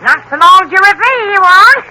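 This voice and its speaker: A woman's voice: very high-pitched, animated, brisk. A woman playing a haggard, half-mad old backwoods woman in an old radio drama, cackling and wheedling in a dialect. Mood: menacing, cackling. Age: older. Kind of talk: 1940s radio acting. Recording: noisy old radio recording.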